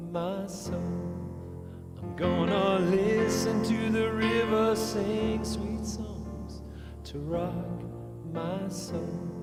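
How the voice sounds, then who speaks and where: A man singing a slow song at a church service, stretching out each phrase. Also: high-pitched. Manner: drawn-out sung phrases. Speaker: church singer. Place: church hall. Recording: echoey hall with loud instrumental backing.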